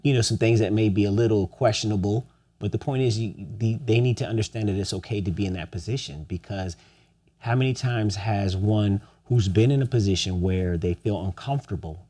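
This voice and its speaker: A man's voice: fairly low pitch, fairly quick, warm tone. A young man co-hosting a podcast, talking earnestly and asking rhetorical questions. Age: young adult. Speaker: podcast co-host. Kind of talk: casual podcast conversation.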